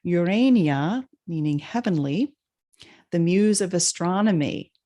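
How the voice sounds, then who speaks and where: A woman's voice: lowish voice, animated, a little slow, warm tone. A woman co-hosting a casual spiritual chat, hesitant, with many fillers, stumbling over names. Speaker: podcast co-host.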